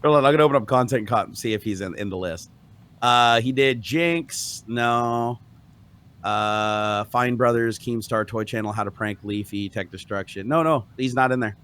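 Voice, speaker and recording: A man's voice: medium pitch, moderate pace, a bit bright, smooth. A man cohosting a podcast, talking casually and animatedly, swearing freely. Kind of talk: casual chat.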